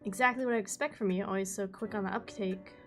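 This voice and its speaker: A woman's voice: mid-range voice, steady tone, fast, faint music behind, natural voice. A woman voicing a confident young female reporter who speaks eagerly and asks a lot of questions.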